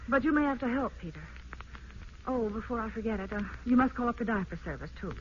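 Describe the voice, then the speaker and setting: Radio play casting: actress for anxious young American wife, hesitant and fluttery, vintage lo-fi broadcast sound with light noise. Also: female voice, on the high side, expressive, fast talker, close to an everyday voice. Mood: overwhelmed, pleading. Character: anxious young wife, flustered new mother. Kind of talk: old-time radio drama acting. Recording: muffled, noisy old radio broadcast.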